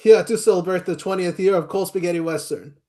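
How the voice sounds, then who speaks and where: A man's voice: high voice, fast, darkish tone. A man hosting a casual chat, speaking in brief interjections.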